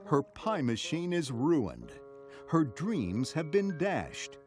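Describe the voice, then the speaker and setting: A male narrator tells a children's story with dramatic, measured delivery. He speaks slowly with a very expressive range of pitch, and music plays clearly behind him. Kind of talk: scripted children's read-along story narration.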